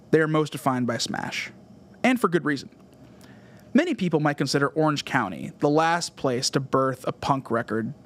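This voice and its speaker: A man with a slightly high voice hosts a podcast on his own. He delivers a scripted music essay in earnest, written-out phrases. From Southern California, USA. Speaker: podcast host.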